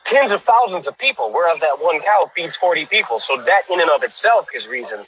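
Man, guest on a video call, enthusiastic, halting, bright tone, husky. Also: a little high, sing-song, low-quality recording. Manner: enthusiastic, halting with many restarts and fillers. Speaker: guest, cyclist. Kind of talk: casual video call chat. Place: cycling town, showing a cafe on camera.

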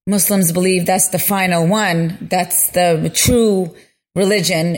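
A woman's voice: on the low side, dry recording. A middle-aged woman giving a personal testimony in a voice message, hesitant and searching for her words. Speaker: listener leaving a voicemail.

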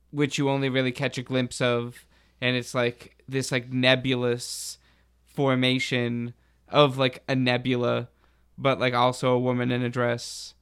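A man chatting casually as a podcast host, with a halting, slangy delivery. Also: medium pitch, a bit bright, clean tone. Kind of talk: casual chat about a TV show.

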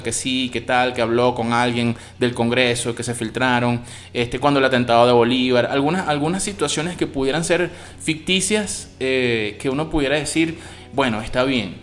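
A man's voice: medium pitch, normal speed. A man from Venezuela with a Venezuelan Spanish accent, giving a casual, slangy spoken review of a TV series. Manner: slangy, expressive, swears freely.

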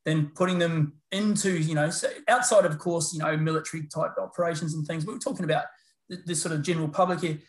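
A man's voice: a little high, fast. Middle-aged New Zealand man, rambling. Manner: relaxed and rambling, many fillers and restarts. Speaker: guest, paramedicine lecturer. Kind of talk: casual interview chat. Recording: roomy echo.

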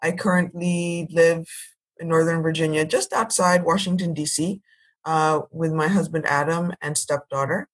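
A woman's voice: sits low, fairly even intonation, moderate pace. A woman in her thirties from Ethiopia, telling her personal story as a podcast guest in a composed, articulate way.

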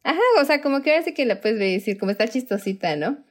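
A woman's voice: on the high side, expressive, racing. A young woman with a Mexican Spanish accent, co-hosting a podcast in casual chat, laughing often and acting out her own reactions. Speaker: podcast co-host.

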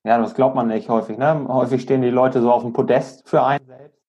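A man hosting a conversational interview in a measured, reflective, polite way, with muffled sound. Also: mid-range voice, steady tone. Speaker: podcast host, interviewer.

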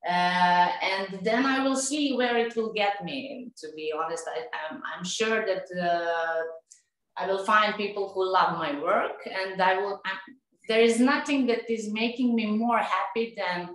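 A woman with a Serbian accent giving casual interview answers, haltingly searching for words in English. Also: mid-range voice, fairly bright tone, very echoey, poor audio. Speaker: interview guest, tennis coach and painter.

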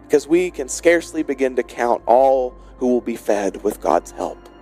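An American man, a pastor, delivering a sermon in deliberate short phrases with rhetorical repetition. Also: medium pitch, expressive, mellow, faint music behind. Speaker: senior pastor.

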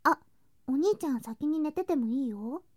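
A woman playing an affectionate, playful younger girl cousin in an intimate ASMR voice drama, speaking softly and coaxingly and slipping into whispers. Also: high-pitched, lively intonation, moderate pace, dry recording, studio quality. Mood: caring, affectionate. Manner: soft, sweet, coaxing, drifting into whispers and singsong. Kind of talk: ASMR situation voice drama, intimate roleplay to listener.

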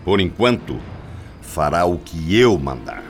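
Radio soap role: imperious middle-aged Brazilian patriarch, low voice, widely swinging intonation, cold and scolding. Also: male voice, very animated, measured pace, faint music behind, exaggerated voice. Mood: stern, commanding. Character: cold, domineering middle-aged father ordering his son around. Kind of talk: radio soap opera acting.

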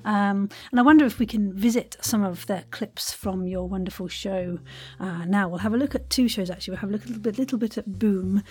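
A woman's voice: mid-range voice, brisk, mellow, slightly rough. A woman hosting a podcast in an effusive, playful and theatrical way, chatting casually and enthusiastically. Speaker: podcast host and interviewer.

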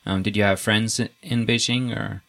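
A young man with a low voice and a gravelly, creaky edge leads a casual interview. He talks in a relaxed, easygoing way, restarting sentences as he thinks. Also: moderate pace.

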